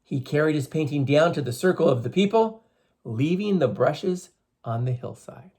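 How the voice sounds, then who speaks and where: A man reading a story aloud to schoolchildren in an expressive, teacherly way, with emphatic phrasing. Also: a little high, normal speed, slightly rough. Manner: teacherly, emphatic, addresses listeners with questions.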